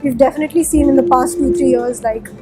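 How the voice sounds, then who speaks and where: A woman's voice: high voice, fairly even intonation, quick pace, loud music behind the voice. A woman from Sikkim whose first language is Nepali, a musician answering interview questions in a chatty, candid way with plenty of fillers. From Sikkim, India.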